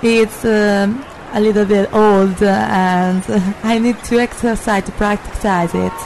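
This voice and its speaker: An Italian woman hosting a travel vlog, chatty and lively, halting and careful when she switches to English with an Italian accent. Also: medium pitch, a little hiss or hum, rough recording. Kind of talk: casual on-the-street presenting.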